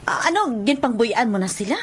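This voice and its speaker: A woman's voice: on the high side, lively intonation, brisk, a little hiss or hum, close to an everyday voice. A woman acting in a radio drama as a fussing, chatty village mother worried about her son. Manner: chatty, fretful, hesitating.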